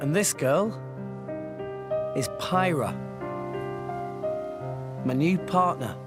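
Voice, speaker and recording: A man's voice: on the high side, lively intonation, drawn-out words. A man playing an earnest, hopeful teenage boy adventurer in a video game, sincere and plain-spoken. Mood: solemn, tender. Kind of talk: video game cutscene acting. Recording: music behind the voice. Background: loud instrumental music with piano.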